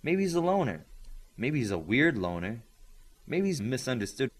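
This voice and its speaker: A curious, wisecracking schoolboy in an audiobook, played by a male reader. The delivery is wry and questioning, with lively swings in pitch. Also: normal speed, slightly rough. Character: curious, wisecracking, exasperated young boy student. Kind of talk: audiobook character acting.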